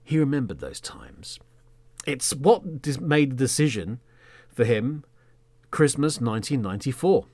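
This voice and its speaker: An Englishman in his thirties, a podcast host telling a story in the third person as a monologue, theatrically, with emphatic words and phrases that trail off into a hush. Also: medium pitch, sing-song, a little slow, slightly rough, dry recording.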